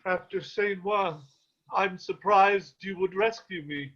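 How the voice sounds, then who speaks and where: Man as a high-voiced, rueful old bishop. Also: takes it slowly, fairly bright tone, full character voice. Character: proud old male bishop, troubled and rueful. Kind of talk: acting in a virtual table read. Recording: muffled lo-fi online call audio.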